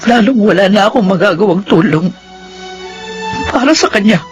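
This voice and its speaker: A woman's voice: mid-range voice, expressive, moderate pace, lightly acted. A woman playing a weary, loving, tearful mother in a Filipino radio drama monologue. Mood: bitter regret. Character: weary grieving mother working away from home, tearful, loving. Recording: dramatic music under the voice.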